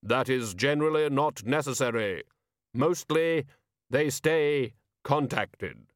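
A man voicing an ominous, unearthly rider who speaks with grave, deliberate calm in an audiobook story. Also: medium pitch, no echo, studio quality, pitched above the performer's own voice, close to an everyday voice.

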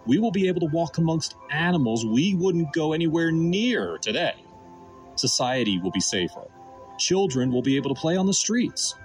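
A man delivering scripted religious narration as a programme narrator. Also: medium pitch.

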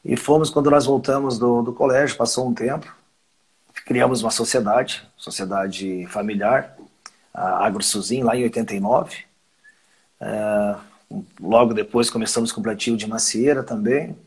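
A man's voice: medium pitch, steady tone, normal speed, slightly rough, rough recording. A man, a winery owner chatting casually in an interview, rambling and often restarting his phrases. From southern Brazil. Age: 50s.

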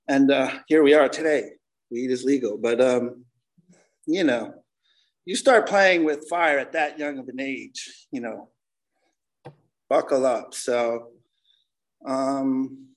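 An American man in his forties speaks to a recovery meeting in a mid-range voice. He is candid and conversational, using casual slang as he gives an informal account of himself. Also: medium pitch. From Connecticut, United States. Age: 40s. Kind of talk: informal personal testimony.

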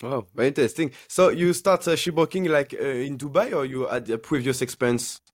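A man's voice: mid-range voice, brisk, husky. A man, hosting and interviewing a guest on a podcast. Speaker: podcast host.

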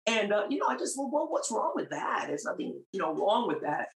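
A middle-aged woman of Puerto Rican background tells her faith story as a podcast guest. She speaks earnestly and presses her points with rising emphasis. Her voice sits at an average pitch and carries a roomy echo. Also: fairly quick. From Puerto Rican, raised in New York. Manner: earnest, grows emphatic and animated. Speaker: guest, singer. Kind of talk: faith testimony in a podcast interview. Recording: roomy, echoey sound.

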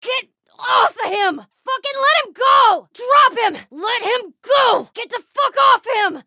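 A woman's voice: extremely high pitch, animated, slow, pushed and bright, no room sound, studio quality, exaggerated voice. A woman playing a tough, sardonic, foul-mouthed young American survivor in a video game, blunt and naturalistic in delivery. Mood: furious, shouting. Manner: blunt, sweary.

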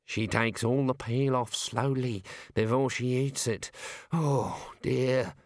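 A man's voice: medium pitch, steady tone, slow, no echo, studio quality. Man doing a stammering, flustered old wizard. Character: fussy flustered older male wizard.

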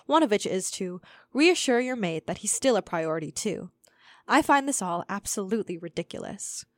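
A woman's voice: medium pitch, dry recording. A young woman reading a scripted essay aloud as narration for a student audio zine. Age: young adult.

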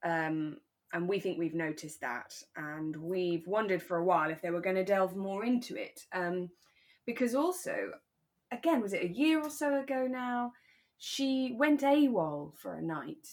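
A woman with a fairly low voice talks as a podcast co-host. She chats casually about a drama series in a reflective way, often checking that her listener agrees. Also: expressive, a little slow, darkish tone. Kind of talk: casual discussion of a radio drama.

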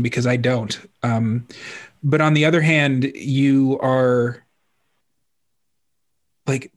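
A man hosting a podcast interview, halting and heartfelt, with many restarts. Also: medium pitch. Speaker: podcast host.

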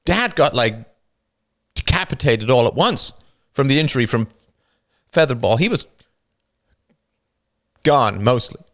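A man's voice: mid-range voice, animated, measured pace, long pauses, fairly bright tone, pitched above the performer's own voice. A man voicing a stoic older teenage boy whose voice cracks as he pushes his words out, tense and raised. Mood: pained.

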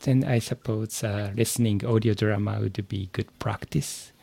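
A man with a Japanese accent, a native Japanese speaker, giving careful, halting interview answers in English as a podcast guest and learner. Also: lowish voice, fairly even intonation, gravelly, warm tone, no room sound. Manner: hesitant, searching for words, halting phrases.

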